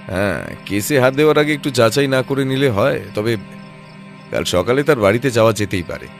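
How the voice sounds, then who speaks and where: An actor playing a composed, analytical private detective in an audio drama, reasoning aloud in a measured way. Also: male voice, medium pitch, expressive, gravelly, faint music behind. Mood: measured, puzzled.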